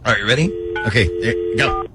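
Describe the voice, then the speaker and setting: A man's voice: mid-range voice, a little fast, loud music behind the voice. A man with an American accent hosting a morning radio show, bantering through a prank call segment.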